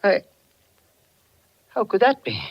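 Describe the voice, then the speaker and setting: An actor playing an anxious, guilt-ridden man on the run in a tense, dramatic 1940s radio mystery. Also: natural voice. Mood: nervous. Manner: tense, dramatic, halting under pressure. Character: anxious, guilt-ridden male fugitive narrating his own nightmare. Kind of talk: 1940s radio mystery acting, first-person narration. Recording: muffled old radio recording, music behind.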